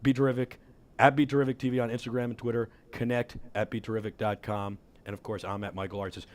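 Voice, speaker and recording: A man in his thirties hosting a talk show in a studio, hoarse from a cold, talking with upbeat energy into a headset mic. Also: medium pitch, fast. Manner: energetic, enthusiastic promo patter. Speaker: host. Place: studio with city backdrop.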